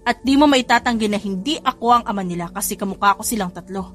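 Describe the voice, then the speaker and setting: A woman's voice: mid-range voice, fast, a bit bright, soft background music, close to an everyday voice. A woman voicing an earnest young man in a romance audio story, speaking in an insistent, emotional, pleading manner. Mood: calm, persuasive.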